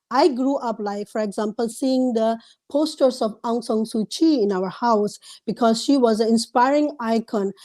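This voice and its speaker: A woman's voice: on the high side, mellow. A woman in her forties, a doctor and activist who first spoke Burmese, answering earnestly on a video call from her home through earphones, with many ums and restarts. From Myanmar (Rohingya), raised abroad. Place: room at home, indoors. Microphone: wired earphone headset on a video call.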